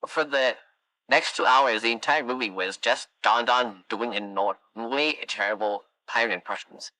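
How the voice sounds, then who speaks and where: A man's voice: medium pitch, normal speed, bright, forceful tone, dry recording, close to an everyday voice, poor audio. A man voicing a chatty animatronic who tells long, wandering stories in a game. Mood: rambling. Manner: long-winded, wandering anecdotes, dry musings. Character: chatty rambling animatronic, wistful storyteller. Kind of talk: fan game voice lines.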